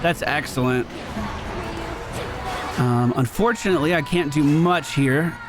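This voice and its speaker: A man's voice: on the high side, unhurried. A man hosting a gaming video, giving upbeat running commentary on his own play. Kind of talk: gameplay commentary. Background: video game music.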